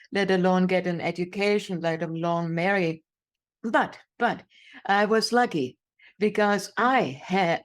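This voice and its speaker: Female interview guest, 60s, German-accented English, emphatic storyteller who leans on repetition. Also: mid-range voice. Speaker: guest, inspirational speaker.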